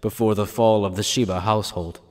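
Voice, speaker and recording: A man's voice: fairly low pitch, fairly even intonation, fairly quick, big-room sound. A man reading scripted dramatic narration for an anime fan dub.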